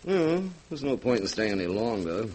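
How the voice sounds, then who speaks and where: An actor playing a cautious, scheming houseguest with a husky voice in a 1940s radio horror drama. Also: male voice, lowish voice, very animated, normal speed, darkish tone, slight background noise. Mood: conspiratorial.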